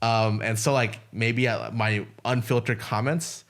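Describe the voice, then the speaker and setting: A man's voice: medium pitch, fairly even intonation, measured pace, fairly bright tone. A man co-hosting a podcast, chatting casually and frankly and swearing freely. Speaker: podcast co-host.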